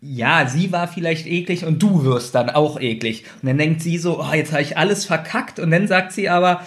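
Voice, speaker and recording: Man, high-pitched voice, casual podcast co-host, teasing banter, insistent. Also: moderate pace, a bit bright. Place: living room of a flat. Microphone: one microphone shared by two people.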